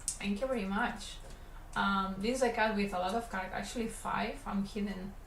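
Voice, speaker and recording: Catalan-accented woman, middle pitch, relaxed livestream chatter while working, roomy sound. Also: fairly quick. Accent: Spanish Catalan. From Catalonia, Spain. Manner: relaxed, rambling, talks to chat while working. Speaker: animator streaming her work. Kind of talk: casual livestream chat while drawing. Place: animation studio room. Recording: roomy, faint background hum.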